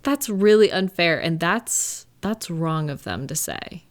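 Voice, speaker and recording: A woman playing a caring, reassuring live-in partner in an intimate audio roleplay, speaking gently and conversationally with thoughtful, hesitant pauses. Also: fairly low pitch, expressive. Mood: reassuring, sympathetic. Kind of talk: intimate one-sided comfort roleplay, conversational acting.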